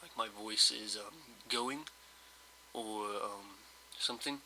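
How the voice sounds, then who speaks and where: A man who sounds slightly hoarse from just waking up, giving casual, rambling commentary over his gameplay with lots of hesitations and fillers. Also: on the low side, takes it slowly, bright, forceful tone, a little hiss or hum, poor audio. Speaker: let's play host.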